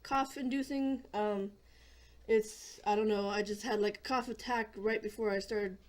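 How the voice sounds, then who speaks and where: A hoarse woman hosting a casual gaming video, chatting in a rambling way and often clearing her throat. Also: mid-range voice, steady tone, normal speed. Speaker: gaming channel host.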